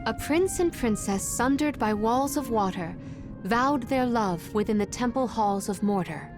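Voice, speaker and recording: High female voice, formal, poetic, composed, scholarly, precise diction, fantasy game heroine, music behind. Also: animated. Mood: reciting solemnly. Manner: formal, measured, precise wording. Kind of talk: video game voice acting.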